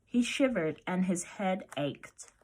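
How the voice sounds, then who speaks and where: A woman reading a children's picture book aloud as narrator, with expressive storytelling. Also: on the low side, slow pace.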